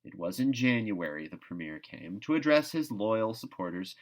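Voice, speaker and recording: A man's voice: on the low side, very animated, moderate pace. A man narrating a classic novel aloud as an audiobook reader, with theatrical, emphatic phrasing. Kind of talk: expressive storybook read-aloud narration.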